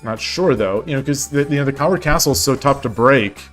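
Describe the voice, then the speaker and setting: Male streamer, casual thinking-aloud commentary, mid-range voice, soft music bed. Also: brisk. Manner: thinking aloud, repeats and restarts, low-key. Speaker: streamer playing online shogi.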